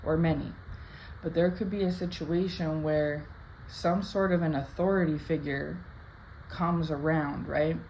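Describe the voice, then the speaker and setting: A woman casually interpreting a dream for her viewers, her voice slightly raspy from just waking up, rambling with many "like" and "right?" tags. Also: low voice, steady tone, measured pace, darkish tone. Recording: dull lo-fi sound with faint background hiss.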